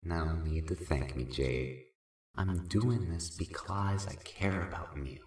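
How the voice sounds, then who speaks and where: A roomy, slightly distant recording of a man acting as a cool cat-like sprite in a podcast drama. The low voice takes its time, stretching words out playfully and tenderly. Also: darkish tone. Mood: tender, teasing. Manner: slow, drawn-out cat puns. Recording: roomy echo, away from the mic.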